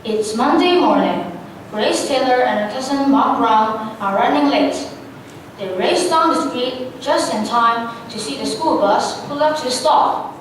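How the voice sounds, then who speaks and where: A young woman with a fairly high voice narrates a scripted story for the stage. She sounds distant in a very echoey room, with faint rumble underneath. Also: lively intonation, measured pace.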